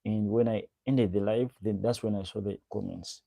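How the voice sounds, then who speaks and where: A young man from Uganda chats loosely with his livestream viewers, calling out their names and repeating each question several times. His voice is low and very dark, with a gravelly fry, recorded dry and close with no room sound. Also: steady tone, normal speed, no room sound. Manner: greets viewers by name, repeats himself. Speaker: livestream host. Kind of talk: casual livestream chat reading comments.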